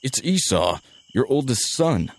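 An actor playing an emphatic, hot-tempered eldest son, a hunter, in a dramatized audio Bible. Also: male voice, medium pitch, big pitch swings, measured pace, husky. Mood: eager. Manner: emphatic, emotional delivery.

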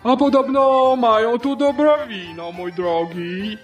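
A woman's voice: on the high side, takes it slowly, highs cut off, faint music behind. A woman voicing a smug, chummy, scheming middle-aged male prime minister in a satirical audio play for children. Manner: pompous, self-satisfied, dragging words.

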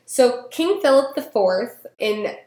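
A woman's voice: on the high side, animated, slow pace, darkish tone. A woman hosting a casual podcast chat, telling a history story in a chatty way, laughing often and tripping over her words. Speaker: podcast host. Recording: roomy echo.

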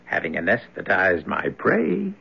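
A sly menacing young man in an old radio play, husky, swoops around a lot, muffled lo-fi. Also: sits low, huge pitch swings, unhurried, full character voice. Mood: sly, mocking. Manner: theatrical, teasing, insinuating. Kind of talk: old-time radio drama acting.

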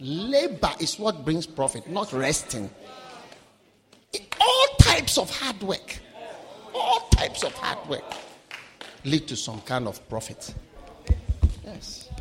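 Man from Africa, preacher, high voice, bright, long hall reverb. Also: takes it slowly, pauses often. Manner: animated, swings from shouting to low asides. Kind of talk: sermon.

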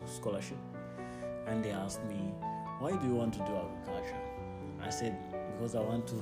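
A young Congolese man speaking English as a second language, Swahili his first, talking softly in a casual interview with frequent restarts. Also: fairly low pitch. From Democratic Republic of the Congo. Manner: soft-spoken, halting, with frequent restarts and laughs. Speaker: missionary team member, guest. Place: house in a neighbourhood. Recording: music in the background.

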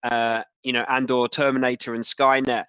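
A man hosting a casual interview, speaking in a halting way and often repeating words as he frames questions. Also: mid-range voice, level tone, bright, forceful tone, smooth, dry recording. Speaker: interviewer, podcast host.

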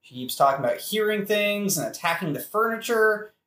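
An actor playing a deferential, flattering royal attendant who explains things earnestly in an audio play. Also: medium pitch, a little fast, lightly acted. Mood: tactful urgency. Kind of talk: satirical modern audio play acting. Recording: echoey room.

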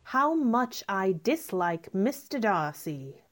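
A woman playing a proud, witty young gentlewoman in a radio drama, cool and formal. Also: medium pitch, big pitch swings, takes it slowly. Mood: distracted. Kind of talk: old-fashioned radio drama acting.

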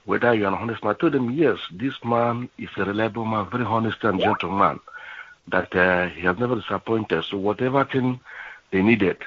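Man on a phone call, very low husky voice, halting with fillers, dry dull line. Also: dry recording. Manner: halting, many fillers and "you know".

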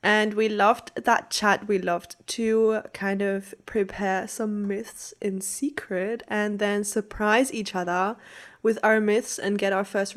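A young woman co-hosting a podcast, chatting casually with her co-host and laughing often. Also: medium pitch. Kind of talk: casual chat.

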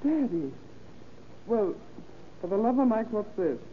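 Cool, flirty American gangster's moll, mid-pitched, brassy and sly, phone-thin vintage radio sound. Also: female voice, sing-song, unhurried. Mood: coy. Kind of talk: 1930s radio crime drama acting. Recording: tinny, noisy old radio recording.

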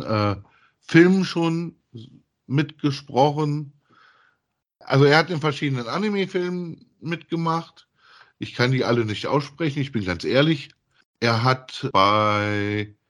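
Slow-paced man chatting as podcast co-host. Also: medium pitch, fairly bright tone. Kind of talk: casual podcast chat.

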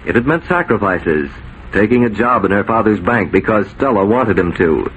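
Dramatic 1940s radio announcer, faint noise. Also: male voice, on the low side, expressive, normal speed, fairly bright tone. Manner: dramatic, declamatory. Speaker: announcer and narrator. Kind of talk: 1940s radio scripted narration. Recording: noisy 1940s radio recording.

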